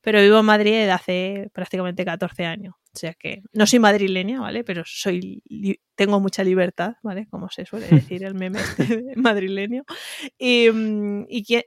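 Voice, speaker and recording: A woman's voice: medium pitch, fairly even intonation, normal speed, creaky, no room sound, studio quality. Chatty woman with a southern Spanish accent. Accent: southern Spanish, between Extremadura and Córdoba. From Spain, near Extremadura and Córdoba. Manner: chatty, laughs easily, many fillers. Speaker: guest, newsletter and content marketer. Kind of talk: casual interview chat.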